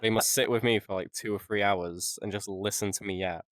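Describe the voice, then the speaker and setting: A man's voice: on the low side, steady tone, fairly quick, fairly bright tone, no echo, studio quality. A man co-hosting a casual podcast, joking and rambling with frequent laughs. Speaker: podcast co-host. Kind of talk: casual chat.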